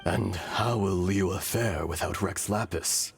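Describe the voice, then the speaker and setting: Ancient male dragon spirit for a video game: grave, weary, formal, deep and unhurried delivery. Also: vocal fry, exaggerated voice. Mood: solemn, reflective. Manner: grave, measured, archaic formal phrasing. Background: faint music.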